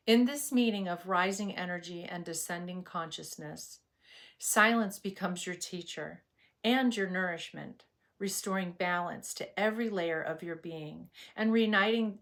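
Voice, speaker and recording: A woman teaching meditation in a scripted spiritual talk, with a soothing, measured and reverent delivery. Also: fairly low pitch, creaky, a bit bright.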